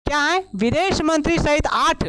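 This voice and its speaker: A male current affairs teacher giving an exam-prep lecture, hoarse from a long day of classes, who keeps asking himself questions and answering them. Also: very high-pitched, normal speed, pushed and bright, no echo, clean studio sound. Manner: asks himself questions and answers them, repeats key points.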